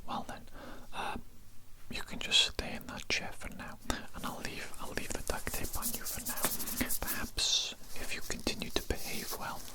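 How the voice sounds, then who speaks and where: An evil cannibal doctor, played by a man for an ASMR roleplay, speaks entirely in a breathy whisper. He is formal, menacing and controlling. His phrases come politely and are often repeated. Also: normal speed, lightly acted, low-quality recording. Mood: smug, menacing. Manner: formal, politely domineering, repetitive. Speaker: ASMR performer.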